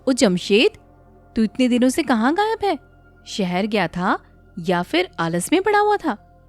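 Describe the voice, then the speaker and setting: A woman voicing a mocking village landlord who scolds and lectures in an animated moral story. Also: on the high side, unhurried, soft background music. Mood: teasing, scolding. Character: mocking, admonishing village landlord, older man in authority.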